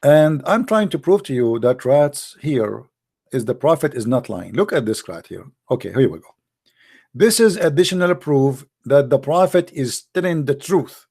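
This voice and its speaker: A man with a dark, creaky voice and as his hosts a live call-in show. He argues emphatically, repeating his phrases with a mocking edge. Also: mid-range voice, lively intonation, moderate pace. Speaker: host of a religious debate call-in show. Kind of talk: live call-in commentary and polemic.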